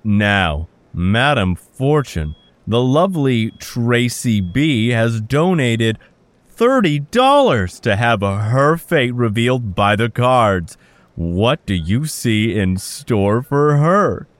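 A genial radio host, played by a man in an audio drama. He talks in polite, theatrical banter with very lively pitch and a measured pace, and flusters easily. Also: no room sound. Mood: amused, showy. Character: genial, flustered radio host of fortune-telling call-in show.

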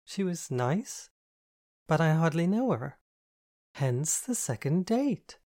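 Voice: medium pitch, big pitch swings, takes it slowly, darkish tone, pitched above the performer's own voice. A reader voicing a young woman who answers dryly and a bit grumpily while getting flustered, in an audiobook. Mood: wry. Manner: wry, self-conscious. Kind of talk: audiobook dialogue.

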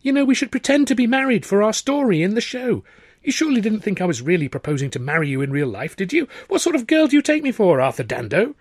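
A man's voice: sits high, big pitch swings, a little mellow, dry recording, clean studio sound, pitched above the performer's own voice, heavily acted. A man voicing a bold, bossy, cheerful young woman who teases and takes charge in an audiobook. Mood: teasing. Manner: brisk, teasing, takes charge. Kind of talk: audiobook character voice.

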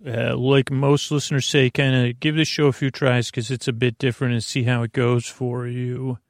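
A man with a creaky voice hosting a sleep podcast, lulling and soothing as he meanders haltingly through a rambling bedtime monologue. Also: medium pitch, level tone, dry recording, clean studio sound. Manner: meandering, halting, repeats and drifts off topic. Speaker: podcast host.

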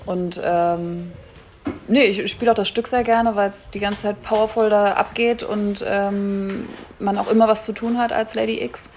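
A woman's voice: medium pitch, fairly even intonation, normal speed, darkish tone, slight background noise. A woman, a stage actress, answering questions as a guest in casual interview chat.

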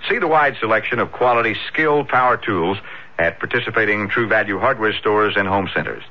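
A man's voice: lowish voice, a little fast. A man reading a scripted radio advertisement as a commercial announcer. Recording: muffled old radio broadcast.

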